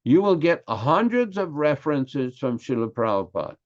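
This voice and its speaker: A man's voice: fairly high pitch, big pitch swings. An older man from Quebec with a Québécois French accent, French his first language, giving an earnest, emphatic class on scripture. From Quebec, Canada. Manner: earnest and emphatic, stressing key words slowly. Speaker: religious class speaker. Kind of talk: lecture on scripture. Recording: slightly dull lo-fi audio.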